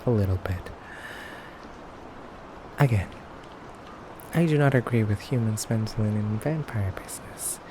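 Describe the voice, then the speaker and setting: A man playing a haughty, teasing pure-blood vampire interrogating a human in an intimate ASMR roleplay, with condescending politeness and hesitant pauses. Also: on the low side, lively intonation, background noise.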